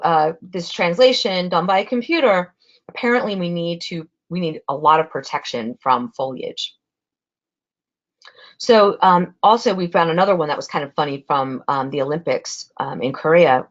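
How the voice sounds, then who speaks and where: A woman's voice: lowish voice, highs cut off. A woman with a Spanish accent giving a webinar presentation in a conversational way, with frequent fillers.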